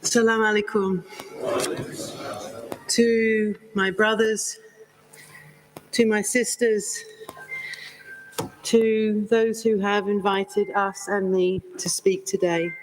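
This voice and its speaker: A woman's voice: medium pitch, steady tone, noisy background. A woman reverend giving a gracious interfaith address as a guest speaker, with an accent that is not South African. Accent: non-South African. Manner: warm, measured, grateful, storytelling. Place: convention hall.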